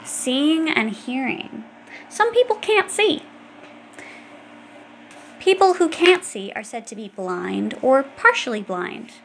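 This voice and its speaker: Higher-pitched woman reading a children's book. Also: sing-song, slow pace, creaky, pauses often, slight background noise, low-quality recording. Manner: explanatory, addressing young children directly. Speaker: audiobook reader. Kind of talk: educational children's book narration, read aloud.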